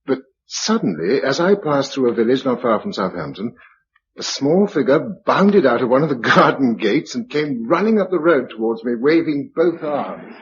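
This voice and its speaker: An actor playing a kindly, steady English survivor in a radio drama, speaking in a measured, understated way. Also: male voice, mid-range voice. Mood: reflective, weary. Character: kindly, steady male survivor narrating his journey. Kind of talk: radio drama narration and dialogue. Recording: dull radio recording, clear background noise.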